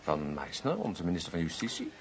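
An actor playing a scheming, vindictive public prosecutor in a radio drama, speaking in a formal, insinuating way. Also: male voice, deep voice, wildly swooping pitch, a little fast, heavily acted. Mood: calculating.

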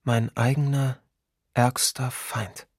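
A bitter, ironic male civilian in a radio drama. His mid-pitched voice is husky and reflective, recorded close and dry. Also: level tone, slow, a little mellow, dry recording. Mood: bitter, brooding. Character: bitter, ironic half-Ukrainian, half-Russian man questioning himself. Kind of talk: reflective radio play monologue.

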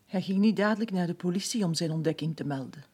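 A woman's voice: fairly low pitch, fast, warm tone, slightly rough. An actress playing a brooding village woman who narrates as part of a chorus in a Flemish radio drama.

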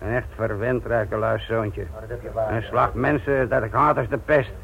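An actor playing a blunt, sardonic, middle-aged police inspector lecturing trainees in a Dutch radio play. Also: male voice, lowish voice, steady tone, unhurried, creaky, fairly bright tone. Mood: brisk, scornful. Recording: tinny, noisy old radio play recording.

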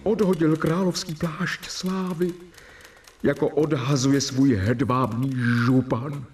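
An actor playing an earnest, devoted doctor, speaking solemnly and rhetorically in a radio drama. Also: male voice, fairly high pitch, steady tone, a little mellow, lightly acted. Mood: dismayed. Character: earnest, devoted doctor, awed assistant confiding his diary.

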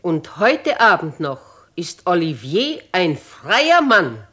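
An actress with diction playing a shrewd, kindly elderly noblewoman in a 1950s radio drama, deliberate and theatrical. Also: lowish voice, sing-song, bright, forceful tone, full character voice. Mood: firm, commanding.

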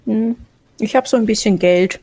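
A woman's voice: mid-range voice, moderate pace, warm tone, a little hiss or hum, poor audio. A woman in a tabletop game recording, hesitantly and jokingly introducing her character, with many fillers. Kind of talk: casual chat.

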